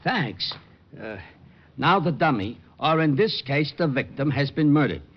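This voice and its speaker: An American man acting a slow-witted, plodding police sergeant in an old radio drama. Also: on the high side, animated. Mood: officious. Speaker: actor playing a police sergeant. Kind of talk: 1940s-50s radio detective drama acting. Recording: muffled, noisy old radio recording.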